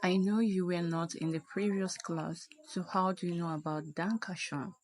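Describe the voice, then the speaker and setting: A woman giving a language lesson, explaining deliberately and enunciating example phrases. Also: on the low side, fairly even intonation. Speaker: German language teacher.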